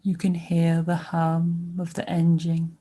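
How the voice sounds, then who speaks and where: Woman, sleep meditation narration, soft relaxed tone, even and flat intonation, gentle, pausing between short phrases. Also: lowish voice, husky. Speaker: meditation guide. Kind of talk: guided sleep meditation, soothing scripted narration.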